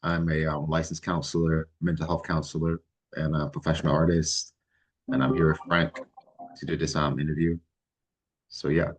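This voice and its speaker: A middle-aged man from Barbados, answering interview questions in a relaxed, halting way with many pauses and restarts. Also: rumbling low, breathy edge. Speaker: guest, licensed mental health counselor.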